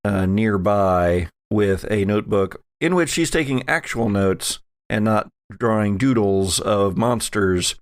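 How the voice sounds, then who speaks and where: Man hosting, low voice with some gravel. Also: slow pace, hardly any pauses, no room sound, studio quality. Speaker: podcast host and game master. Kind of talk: casual chat and tabletop game narration.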